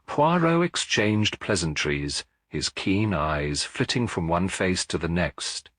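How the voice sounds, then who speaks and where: A man with a low, husky voice narrates a detective story in a formal, dramatic style. His delivery is measured and highly melodic, with wide swings in pitch. The sound is close and dry. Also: very animated, a bit bright, dry recording. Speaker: audiobook narrator. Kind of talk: scripted dramatic mystery narration.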